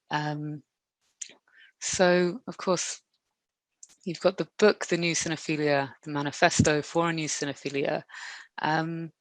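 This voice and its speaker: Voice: medium pitch, no room sound. An academic chairing an online workshop, speaking politely and steadily with many fillers over a video call. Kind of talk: hosting an online academic event.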